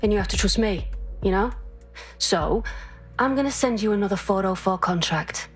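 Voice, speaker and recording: A woman's voice: medium pitch, normal speed, breathy edge, faint music behind, natural voice. An actress playing a cocky, teasing, dangerous female vigilante in a video game. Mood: teasing, confident. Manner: teasing, brash, chatty.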